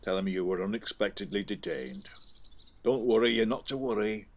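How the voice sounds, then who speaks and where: An actor playing a gruffly kind working-class husband in an audio play, fussing and reassuring in a colloquial way. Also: male voice, on the low side, normal speed.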